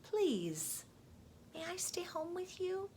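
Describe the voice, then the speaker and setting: A woman's voice: very high-pitched, sing-song, takes it slowly, darkish tone, slight background noise, voice pushed up, exaggerated voice. A woman voicing a young boy raccoon with childlike, earnest questioning in a children's storybook. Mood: pleading. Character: young boy raccoon, pleading, curious and loving. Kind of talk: children's storybook read-aloud.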